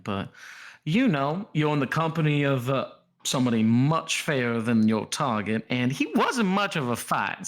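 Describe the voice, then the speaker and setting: A man's voice: medium pitch, a bit bright. A man voicing a sly, flirtatious female rogue who talks in florid, mock-courtly phrases.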